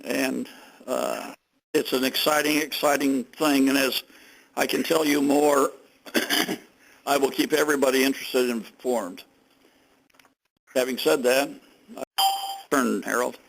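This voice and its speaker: An older man, a company executive, gives remarks on a conference phone call in measured phrases with pauses. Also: medium pitch, fairly even intonation, vocal fry. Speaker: company executive being interviewed. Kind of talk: conference call remarks, recounting his business.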